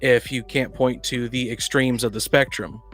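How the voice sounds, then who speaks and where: A man's voice: mid-range voice, fairly even intonation, normal speed, a bit bright, soft background music. A man on a podcast panel in casual debate, arguing insistently and cutting in to press his point. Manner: argumentative, insistent, interrupting.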